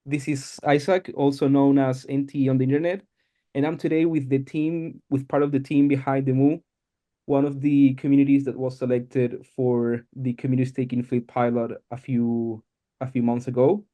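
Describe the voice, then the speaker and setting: Mellow-voiced male podcast host. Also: mid-range voice, flat delivery, moderate pace. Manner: welcoming, explanatory. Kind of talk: podcast introduction.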